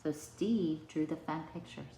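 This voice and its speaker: Expressive woman reading aloud in echoey room. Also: low voice, a little slow. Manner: expressive, child-directed. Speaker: pre-K teacher. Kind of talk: read-aloud storytelling for young children. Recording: echoey room, faint background noise.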